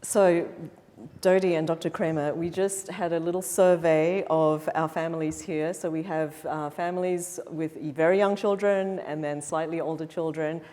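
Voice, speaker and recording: A woman's voice: deep voice, fairly even intonation, normal speed, mellow. A middle-aged woman moderating a panel, friendly and guiding the questions. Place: event hall before an audience. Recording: reverberant event hall.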